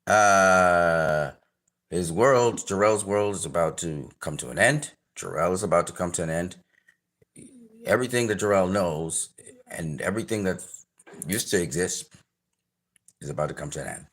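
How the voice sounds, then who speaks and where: A man's voice: low-pitched, normal speed, pauses often, fairly bright tone. A man with a Trinidadian accent hosting a casual trailer reaction, rambling with many fillers and restarts. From Trinidad and Tobago. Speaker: channel host reviewing a trailer.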